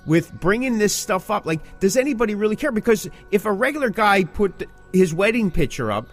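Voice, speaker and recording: A man's voice: high voice, lively intonation, brisk, soft background music. A man hosting a podcast in casual, opinionated chat, throwing out rhetorical questions and punchy repeated phrases. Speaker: podcast host.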